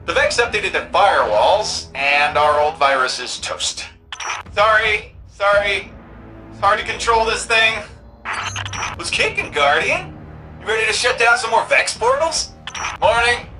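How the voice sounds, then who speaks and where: An actor playing an excitable, cheeky young tech-whiz sidekick in a video game, with a slangy, playful manner. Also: mid-range voice, measured pace, music in the background. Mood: chatty, playful. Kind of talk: video game character dialogue.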